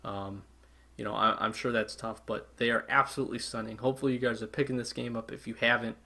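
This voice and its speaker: A man's voice: medium pitch, steady tone, fast talker, vocal fry, bright, forceful tone. A man hosting a gaming channel, giving a chatty, enthusiastic casual intro with lots of fillers.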